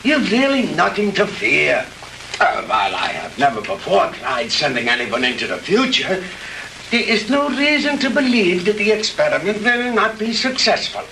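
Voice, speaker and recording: An actor playing a courteous but menacing mad scientist in a 1960s B-movie, with a formal, pompous, falsely reassuring manner on an old film soundtrack. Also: male voice, high-pitched, very animated, normal speed, creaky, sharp tone, recorded from a distance, a little hiss or hum, heavily acted, rough recording. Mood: smoothly reassuring. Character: menacing, courteous mad scientist holding captives, coolly insistent.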